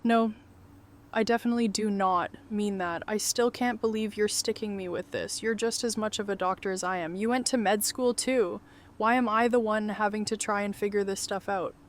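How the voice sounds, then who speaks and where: A woman's voice: mid-range voice, fairly even intonation, normal speed, close to an everyday voice. An actress playing a bookish, self-doubting woman doctor in an audio drama, with a fussy, protesting manner. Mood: exasperated.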